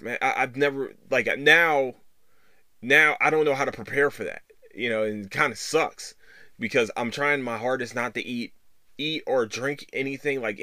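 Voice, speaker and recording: A man speaks in a close, very dry recording with hardly any room sound. He talks as a solo podcast host, loose and unscripted, circling back over his words and swearing freely. His pitch sits in an ordinary middle range for a man. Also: sharp tone, no room sound. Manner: rambling, halting, with repeated words and frequent swearing. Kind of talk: casual solo podcast monologue.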